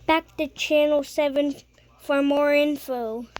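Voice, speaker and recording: High-pitched, slow amateur weather announcer. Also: fairly even intonation, a little hiss or hum. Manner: halting, with stumbles and self-corrections. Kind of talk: amateur mock TV weather emergency broadcast.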